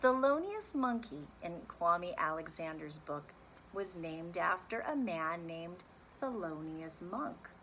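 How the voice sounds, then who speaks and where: A woman speaking as a music teacher, reading a children's story and explaining it in an animated, deliberate, instructive way. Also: fairly low pitch, slight background noise, rough recording. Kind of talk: expressive children's read-aloud and lesson.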